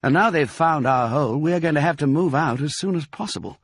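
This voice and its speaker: A man voicing a quick-witted, urgent father fox in an animated, emphatic children's storybook reading. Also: a little high, husky, no room sound, studio quality, pitched above the performer's own voice. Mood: worried, musing. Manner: emphatic, commanding, excitable.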